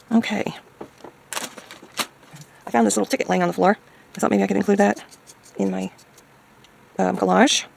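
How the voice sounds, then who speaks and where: Woman, heavy vocal fry, hoarse, hesitant, musing craft-video chat, faint background noise. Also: mid-range voice, a little mellow, rough recording. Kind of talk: casual crafting chat, thinking aloud.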